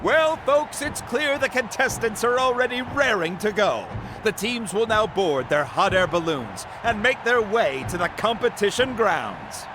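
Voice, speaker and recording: A man playing an energetic, formal tournament announcer in a video game, projecting theatrically to an arena and stretching his phrase endings. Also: high-pitched, measured pace, hardly any pauses, loud music behind the voice, low-quality recording. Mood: excited.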